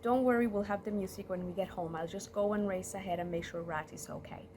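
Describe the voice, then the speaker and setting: A woman voicing a soft-hearted, trusting male cat in a story, gentle and earnest. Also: medium pitch, quick pace, a little hiss or hum, close to an everyday voice. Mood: puzzled. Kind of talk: live oral storytelling.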